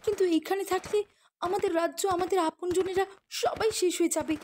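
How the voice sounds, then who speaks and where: A woman's voice: extremely high pitch, steady tone, brisk, darkish tone, a little hiss or hum. A woman playing an anxious but determined young winged fairy princess in a cartoon. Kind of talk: dramatic animated fairy tale acting.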